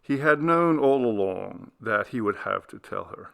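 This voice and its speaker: A man reading a literary ghost story as a solemn, deliberate, dramatic audiobook narrator. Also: mid-range voice, animated, vocal fry, a bit bright, clean tone. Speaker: audiobook reader.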